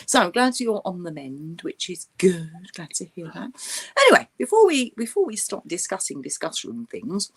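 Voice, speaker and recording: A woman's voice: fairly low pitch, sing-song, normal speed, husky. A British woman co-hosting a casual chat show in a playful, jokey way. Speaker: co-host of an interactive chat show.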